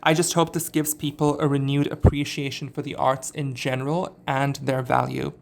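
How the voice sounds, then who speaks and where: A young man, a singer, giving a reflective personal statement in an earnest, articulate way with occasional filler pauses. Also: medium pitch, flat delivery, creaky, background noise.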